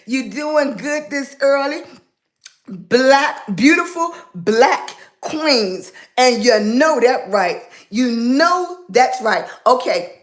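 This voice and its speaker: High-voiced Southern woman streaming live. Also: expressive. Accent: Southern US, Virginia. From Virginia. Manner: warm, affectionate, calls everyone baby, drawn-out words. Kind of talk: casual live-stream chat greeting viewers.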